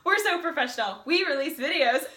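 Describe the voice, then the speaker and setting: A woman co-hosting a casual book review, chatty, expressive and informal in her speech. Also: sits high, brisk, slight background noise. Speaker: co-host of a book review channel. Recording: roomy indoor echo.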